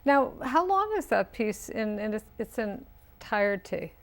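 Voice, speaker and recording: A woman interviewer chatting casually, halting and repeating words as she goes. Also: medium pitch, sing-song. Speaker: interviewer, show host.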